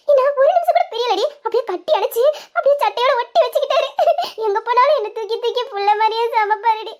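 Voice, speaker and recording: A woman's voice: very high voice, darkish tone. A woman voicing a lovesick young woman who sobs and pleads to her younger sister. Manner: sobbing, pleading, breaking into tearful laughs. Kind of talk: dramatized audio story.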